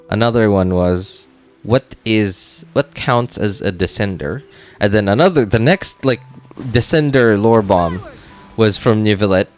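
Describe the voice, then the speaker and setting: A man streaming a game in a casual, rambling chat, thinking aloud and tossing in exclamatory asides. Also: lowish voice. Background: game music and dialogue.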